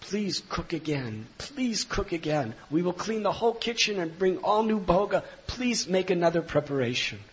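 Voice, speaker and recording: A man's voice: high-pitched, animated, normal speed, few pauses, dull audio, voice pushed up. A man voicing an emphatic father in a devotional story, stern with his child and earnest with his guest. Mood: imploring. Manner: emphatic, imploring.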